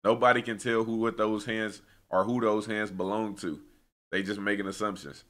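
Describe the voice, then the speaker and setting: Low-voiced young American man reacting. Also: fairly even intonation, moderate pace, a bit bright. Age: young adult. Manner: slangy, conversational, opinionated. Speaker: reaction video host. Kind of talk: casual reaction commentary.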